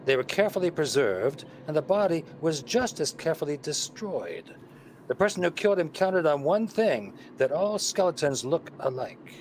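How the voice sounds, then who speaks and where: A man's voice: on the high side, big pitch swings, normal speed, slight background noise. A man playing a witty, playful detective and teasing husband in a vintage-style radio play, with wry theatrical delivery. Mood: confidently scheming.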